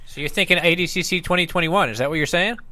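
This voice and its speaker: A man's voice: a little high, fast talker, a crowd in the background. A man hosting a casual interview, asking questions in an informal, enthusiastic way. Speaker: podcast host.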